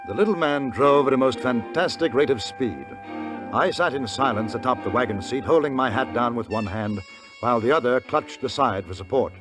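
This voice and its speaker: Courteous English newspaperman out West, male actor: reserved wording, animated inflection, 1950s radio drama with music behind. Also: medium pitch, lightly acted. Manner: formal, reserved, hesitant when puzzled. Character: polite, bemused English newspaperman travelling the West. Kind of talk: 1950s radio Western acting and narration.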